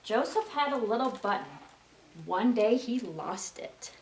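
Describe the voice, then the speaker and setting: High female voice, very animated, sing-song children's story time, roomy distant recording. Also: a little slow. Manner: sing-song, prompting listeners with questions. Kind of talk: read-aloud story time for young children. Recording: roomy, distant mic with faint noise.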